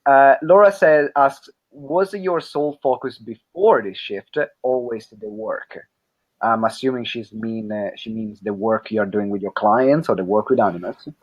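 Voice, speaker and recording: Italian guy hosting a podcast, lots of uh and restarts, kinda muffled lo-fi sound. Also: fairly low pitch. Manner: halting, many fillers and restarts. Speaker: podcast host. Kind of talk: reading listener questions.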